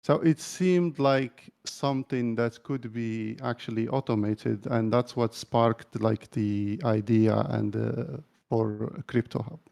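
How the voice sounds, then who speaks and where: A man's voice: medium pitch, fairly even intonation, slow, gravelly, few pauses, a little mellow. A middle-aged man from Algeria, a tech executive, answering interview questions casually and hesitantly with many fillers. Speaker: CTO.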